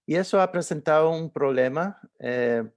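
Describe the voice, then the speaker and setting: A middle-aged man from Puerto Rico giving an academic talk in a webinar, speaking haltingly with many fillers and restarts. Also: on the high side. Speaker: guest speaker, researcher.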